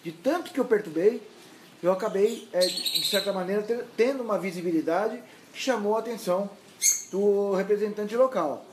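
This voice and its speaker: A middle-aged man with a Brazilian accent from Santos, giving unscripted interview answers in a halting, emphatic way with repeated words. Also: sits high, a little hiss or hum, poor audio. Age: middle-aged or older. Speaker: mayoral candidate and university lecturer. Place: indoors at his home.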